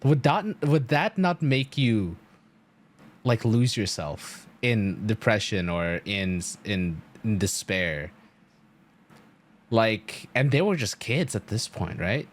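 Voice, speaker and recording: A man streaming a video game speaks in a fairly low voice. His commentary is casual and rambling, often halting and rephrasing as he thinks aloud. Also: lively intonation.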